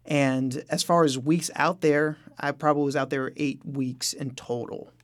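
A man's voice: fairly high pitch, steady tone, unhurried. A young man, a musician, talking candidly in an interview, self-deprecating and breaking into light chuckles. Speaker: musician guest. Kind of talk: casual interview talk.

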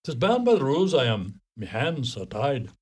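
A man's voice: medium pitch, wildly swooping pitch, normal speed, a little mellow, husky. A man acting an officious, exasperated, rule-bound Irish post office clerk in a radio play. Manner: fussy, officious.

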